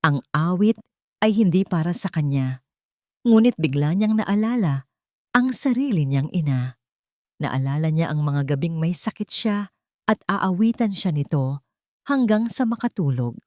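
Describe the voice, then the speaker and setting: A woman's voice: fairly low pitch, lively intonation, a little slow, long pauses, warm tone, dry recording, studio quality. A woman with a Filipino accent narrating a scripted dramatic story.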